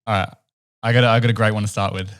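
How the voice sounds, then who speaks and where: Young NZ man, twenties, casual podcast storyteller on a close mic, animated, given to chuckling. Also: fairly low pitch, rapid-fire. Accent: New Zealand. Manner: animated storyteller, laughs mid-sentence. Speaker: podcast co-host. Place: home room with stone wall, indoors. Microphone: boom studio mic close up.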